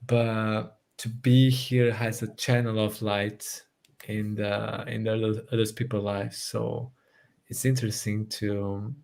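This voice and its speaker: A man's voice: lowish voice, level tone, a little slow, vocal fry, darkish tone. A Brazilian-born man raised in Portugal, a native Portuguese speaker with a Portuguese accent, talking earnestly as a podcast guest and restarting phrases as he searches for English words. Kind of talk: casual conversation.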